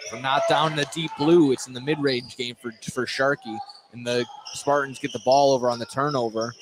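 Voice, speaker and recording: Man calling basketball over loud gym noise. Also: mid-range voice, fairly even intonation, brisk. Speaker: play-by-play basketball announcer. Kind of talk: live sports radio commentary.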